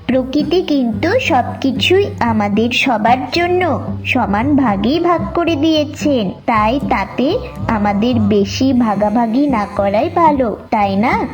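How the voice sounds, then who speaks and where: A woman voicing a sensible, caring bird in a children's cartoon story, measured and gently moralizing. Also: on the high side, animated, faint music behind. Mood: gently advising.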